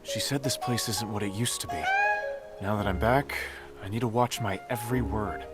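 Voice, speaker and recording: A man's voice: lowish voice, fairly even intonation, moderate pace, natural voice. A man playing an earnest, helpful young companion in a video game, with an eager and reassuring manner. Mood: earnest, slightly rueful. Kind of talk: video game character acting. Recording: music behind the voice.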